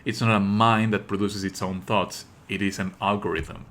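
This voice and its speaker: A man with a fairly low but bright, forceful voice hosts a live stream. He explains things in a pedantic, opinionated way, halting between phrases and leaning hard on key words. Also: steady tone, slight background noise. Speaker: streamer and host. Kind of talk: live game stream commentary, explanatory talk.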